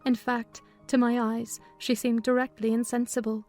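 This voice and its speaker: A woman reads as the narrator of a literary audiobook. Her voice is fairly high and stays even in pitch, measured in its storytelling, with faint music underneath.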